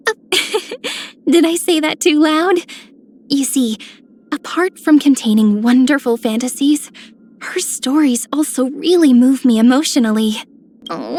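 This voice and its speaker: High, slow-spoken sweet heroine. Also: female voice, darkish tone. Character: gentle, sweet, affectionate young woman, an avid admirer. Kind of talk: video game character voice lines.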